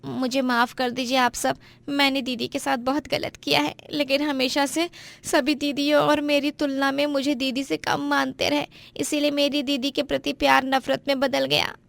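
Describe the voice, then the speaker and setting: A woman's voice: high voice, little pitch movement, moderate pace, creaky, fairly bright tone, no room sound. A woman voicing a jealous, scheming young daughter-in-law in a moral story, with a plotting, gloating manner. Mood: remorseful. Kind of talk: Hindi moral story voice acting.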